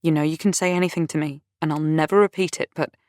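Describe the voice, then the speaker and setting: A woman's voice: low-pitched, steady tone, a little mellow, no room sound, studio quality, natural voice. A woman voicing a patient friend who speaks carefully and holds back her temper. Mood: restrained. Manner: measured, restrained.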